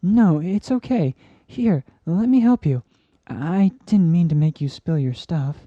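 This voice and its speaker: A woman's voice: on the low side, expressive, measured pace, no room sound. A woman voicing a shy young male pegasus pony in a cartoon-style story, hesitant, stammering and apologetic, in a dull dry recording. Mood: flustered.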